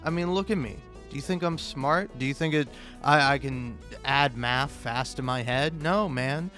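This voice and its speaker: A man improvising a self-deprecating comic monologue for a gaming video, rambling and halting, often interrupting himself and swearing casually. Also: mid-range voice, sharp tone, soft background music. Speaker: video game YouTuber.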